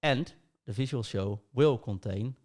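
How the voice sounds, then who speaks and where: A man's voice: mid-range voice, a little slow, darkish tone. A man with a Dutch accent hosting a podcast, laying out show plans in a rambling, casual way with many fillers. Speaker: podcast host.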